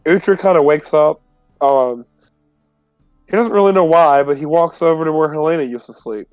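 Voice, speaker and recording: A man with a slightly high voice chats as a roleplay player, informally narrating his character's moves and swearing now and then. The sound is dry, with music playing faintly behind him. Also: normal speed, darkish tone, clean tone, no echo, rough recording. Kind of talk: casual chat, describing character's actions.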